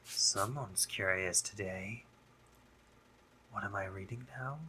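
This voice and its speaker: A man playing a doting, teasing gentlemanly spider-monster painter in a romantic roleplay audio drama, with genteel phrasing, hesitant pauses and drops into whispers. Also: lowish voice, slight background noise, full character voice. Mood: hesitant, coy.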